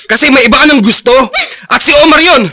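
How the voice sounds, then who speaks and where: A man's voice: very high-pitched, fast, sharp tone, a little hiss or hum. An actor playing a jealous, defiant young man in Filipino radio drama, confrontational and accusing. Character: jealous, defiant young son and possessive boyfriend.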